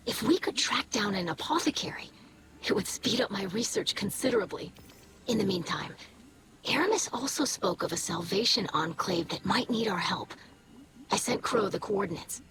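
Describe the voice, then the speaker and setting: A woman's voice: mid-range voice, expressive, normal speed, close to an everyday voice. Woman voicing an earnest young alien scholar, noisy background. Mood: earnest, hopeful. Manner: earnest, careful, formal phrasing. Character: earnest young female alien scholar, hopeful, devoted daughter. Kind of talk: video game character dialogue.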